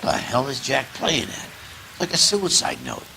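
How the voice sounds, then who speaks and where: Terse detective, swinging pitch. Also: male voice, sing-song, unhurried, background noise. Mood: terse, puzzled. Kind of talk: dramatic detective game acting.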